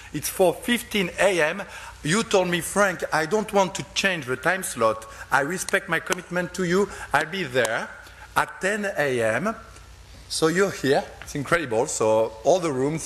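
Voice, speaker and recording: A man's voice: fairly high pitch, a bit bright. French-accented man hosting a stage interview. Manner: effusive, stammering with repeated words. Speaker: conference host and interviewer. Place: conference hall.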